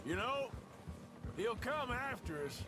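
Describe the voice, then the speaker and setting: Folksy American outlaw, strikingly high pitched. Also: male voice, very animated, takes it slowly, background noise, heavily acted. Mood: wry, assured. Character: loyal, confident male outlaw henchman in the Old West. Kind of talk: cinematic western game acting. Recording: loud music over the voice.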